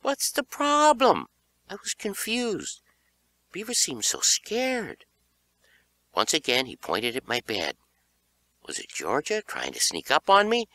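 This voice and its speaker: Guy narrating kids horror book, theatrical n suspenseful, bright forceful voice, takes his time. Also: medium pitch, huge pitch swings, unhurried, pauses often, dry recording. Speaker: audiobook narrator. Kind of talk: dramatic scripted narration of children's horror fiction.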